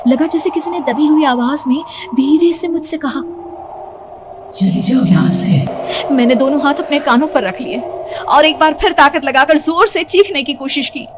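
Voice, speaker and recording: A young woman narrating a horror cartoon story in the first person, hushed and suspenseful. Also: high voice, normal speed, noisy background. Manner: hushed, suspenseful, rising to frightened.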